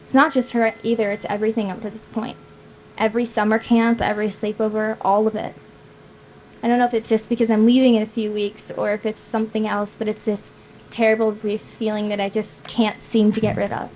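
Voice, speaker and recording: A woman's voice: mid-range voice, level tone, fairly quick, darkish tone, close to an everyday voice, rough recording. A woman reading the part of a wistful, teasing young woman at a screenplay table read. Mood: melancholy, anxious. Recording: noticeable background noise.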